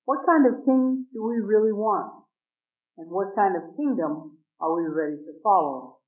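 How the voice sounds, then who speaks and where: A man giving scripted, reverent dramatic narration at a measured pace. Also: sits high. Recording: roomy, distant, dull sound.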